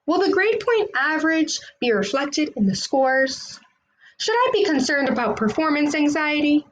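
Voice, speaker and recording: A woman's voice: sits high, sing-song, moderate pace, slight background noise, voice pushed up, low-quality recording. A woman voicing an anxious, pushy, status-minded parent in a storybook, asking formal, fretful questions. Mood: anxious, pompous.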